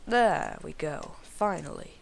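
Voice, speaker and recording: A man narrating his own gameplay in a casual, mumbling way, sounding croaky from a cold and talking to the animals on screen. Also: on the high side, wildly swooping pitch. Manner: slow, mumbling, talks to the animals. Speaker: gaming video creator.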